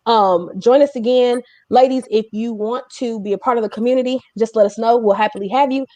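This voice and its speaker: A woman's voice: on the high side, fairly quick, warm tone, no room sound. A woman hosting a casual livestream chat, talking in an informal, chatty way with joking asides. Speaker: host of a music community livestream.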